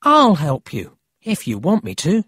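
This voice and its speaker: A cheeky, brash city boy from a storybook, played by an adult reader. His voice is pitched high and swoops about with eager, teasing energy, recorded dry and close. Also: huge pitch swings, unhurried, a bit bright, no room sound, full character voice. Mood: eager, helpful. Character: cheeky, excitable, friendly city boy with a horn.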